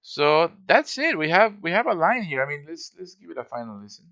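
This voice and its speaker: Man, casual tutorial narration, creaky edge, animated intonation, informal thinking aloud. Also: fairly high pitch, brisk. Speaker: game modder giving a tutorial. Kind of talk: casual screen-recorded show-and-tell.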